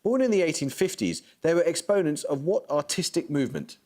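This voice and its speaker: A man hosting a television quiz, reading out questions and rulings in a brisk, formal, precise manner. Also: a little high, very animated, darkish tone. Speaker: quizmaster.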